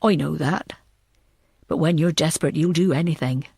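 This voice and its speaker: A woman voicing a middle-aged male ex-prisoner in an audiobook, telling his story in a measured, self-satisfied way. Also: sits low, vocal fry, a little mellow, breathy edge, no room sound, studio quality, pitched below the performer's own voice, full character voice. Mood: defensive, matter-of-fact. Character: middle-aged male ex-prisoner, desperate, smugly reminiscing to interrogators.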